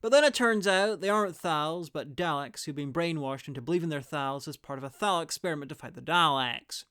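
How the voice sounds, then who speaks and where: A man's voice: on the high side, brisk, a bit bright, clear, resonant tone. A man narrating a scripted review in a wry, irreverent way. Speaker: reviewer presenting audio drama clips.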